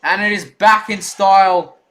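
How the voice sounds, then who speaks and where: Young guy doing fan play-by-play on a stream, bright kinda high voice, getting hoarse. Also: measured pace. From Australia. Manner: excited rooting fan, loud on big plays, chatty in lulls. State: losing his voice. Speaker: stream host.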